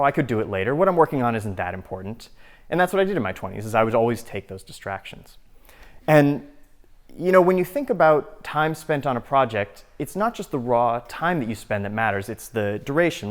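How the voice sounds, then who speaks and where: A man in his thirties giving a casual, earnest talk at a live event, talking conversationally and leaning on "like" and "you know" while alternating between emphatic and quiet moments. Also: mid-range voice. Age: 30s.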